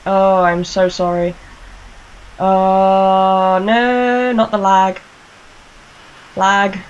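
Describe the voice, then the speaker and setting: A woman's voice: medium pitch, flat delivery, extremely slow, dull audio. A woman hosting a casual fan discussion over an internet call, informal and playful in how she talks. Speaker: online radio show host. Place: internet call recorded on a computer.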